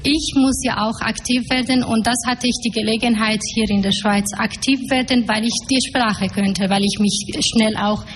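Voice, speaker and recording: A woman's voice: fairly high pitch, steady tone, normal speed, few pauses. Young Afghan woman speaking in a hall. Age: 20s. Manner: hesitant, many fillers, searching for words. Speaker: panel guest, activist. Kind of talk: public panel talk. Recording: echoey hall, faint noise.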